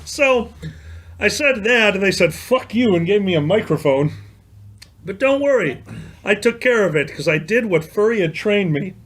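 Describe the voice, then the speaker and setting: A man's voice: high-pitched, expressive, moderate pace, fairly bright tone, slightly rough. A man with a Chicago accent, co-hosting a livestream chat and telling self-deprecating, joking stories. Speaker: podcast co-host.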